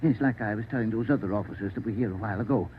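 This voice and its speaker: An actor playing a folksy, rambling old neighborhood druggist in a 1940s radio drama. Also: male voice, fairly low pitch, very fast, natural voice. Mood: chatty, puzzled. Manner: folksy, rambling, emphatic storytelling. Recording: tinny, faintly noisy 1940s radio recording.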